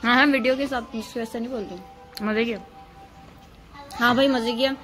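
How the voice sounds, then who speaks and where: A woman's voice: a little high, fairly even intonation, normal speed, a bit bright, soft background music. A woman from Pakistan vlogging in a teasing, chatty way, filming on her phone at home and in a car. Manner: teasing, chatty, laughs often. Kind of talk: casual chat and vlog narration. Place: home kitchen, then a car at night.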